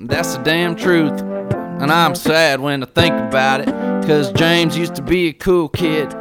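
A woman's voice: low-pitched, steady tone, a little slow. Woman rapping as brash Moneymaker Mike. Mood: mock-sad. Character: boastful rapper kid Moneymaker Mike, male. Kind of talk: comedic rap over music. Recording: music playing behind.

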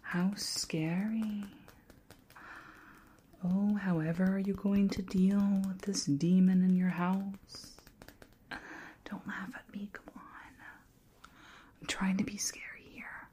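A woman playing a shy, hapless young female ghost in an ASMR roleplay, speaking softly and often whispering, with theatrically spooky teasing. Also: mid-range voice, little pitch movement, slow pace, long pauses, slight background noise, close to an everyday voice. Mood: playfully spooky, then flustered. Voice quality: soft, breathy, often whispered.

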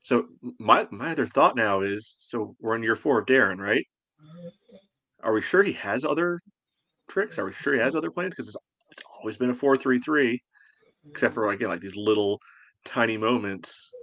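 A man's voice: mid-range voice, normal speed, a bit bright, no room sound. A man co-hosting a podcast in casual sports talk, thinking aloud and hedging as he goes. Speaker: podcast co-host.

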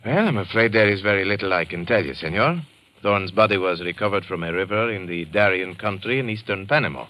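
A man's voice: deep voice, fairly quick, pushed and bright. A man playing a courteous, cautious Panamanian police lieutenant with a Latin American Spanish accent, formal and hesitant, in old radio drama style. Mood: regretful, puzzled. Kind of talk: 1940s radio drama acting.

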